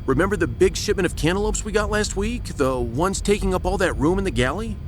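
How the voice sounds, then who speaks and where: Wry, animated starship captain. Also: male voice, a little fast. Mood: wry, reassuring. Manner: wry, folksy, commanding. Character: wry, confident male human starship captain. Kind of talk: sci-fi audio drama acting. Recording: rumbling spaceship hum behind.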